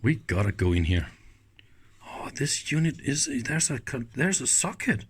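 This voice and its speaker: Slow-paced man thinking aloud. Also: medium pitch, big pitch swings. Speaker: host of an electronics teardown video. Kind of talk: casual hands-on commentary. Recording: clicks and faint background noise.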